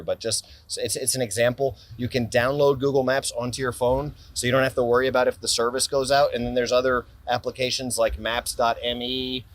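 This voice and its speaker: A man's voice: medium pitch, no room sound. A man in his forties with an American accent, talking casually about his everyday carry gear while seated in a city park, rambling and restarting sentences. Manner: rambling, self-interrupting, enthusiastic about gear. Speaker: gear company owner and vlogger. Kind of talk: casual gear-review vlog talk. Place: outdoor city park, seated on stone ledge. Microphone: camera on tripod a few feet away, handheld close-ups.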